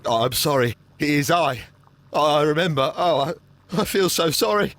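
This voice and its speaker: An actor playing a nervous, haunted councillor in a video game, stammering heavily in a hesitant, apologetic way. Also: male voice, on the high side, lively intonation, moderate pace, fairly bright tone, slight background noise. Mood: queasy, distressed. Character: nervous, haunted, guilt-ridden male councillor and archaeology enthusiast. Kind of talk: scripted video game acting.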